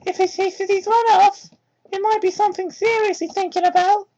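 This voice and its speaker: Woman doing a high-pitched caring little boy fox. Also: fairly even intonation, moderate pace, mellow. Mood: worried. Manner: earnest, caring, childlike. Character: kind, worried four-year-old boy fox. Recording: muffled low-quality microphone.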